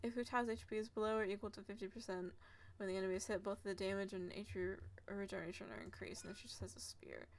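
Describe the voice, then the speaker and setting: A young woman live-streaming a game, giggling and reading game text aloud haltingly. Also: medium pitch, fairly even intonation. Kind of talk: casual live-stream commentary.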